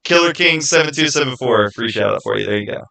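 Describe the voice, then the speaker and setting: A man's voice: medium pitch, lively intonation, quick pace, vocal fry, pushed and bright. A man hosting a live stream, talking casually with viewers in an emphatic, insistent way and often repeating his phrases. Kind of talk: casual live chat with viewers, arguing game theories.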